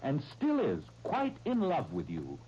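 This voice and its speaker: Bitter, jealous husband, old muffled radio. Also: male voice, a little high, wildly swooping pitch, a little slow. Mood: jealous, accusing. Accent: American. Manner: bitter, sarcastic, theatrical. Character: jealous, proud, sarcastic husband, a struggling attorney. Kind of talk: 1930s radio soap opera acting. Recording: muffled, noisy 1930s radio recording.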